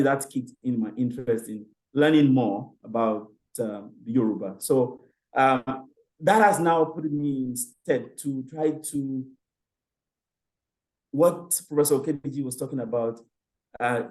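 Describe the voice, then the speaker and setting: Middle-aged man, hesitant academic questioner, filler-heavy delivery, mid pitch. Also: a little mellow, low-quality recording. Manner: hesitant, many fillers and restarts. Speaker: panel questioner. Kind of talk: academic Q&A with a personal story.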